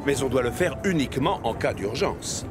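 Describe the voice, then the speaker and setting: A man's voice: mid-range voice, expressive, normal speed, music in the background. A man narrating a children's cartoon like a scripted storybook.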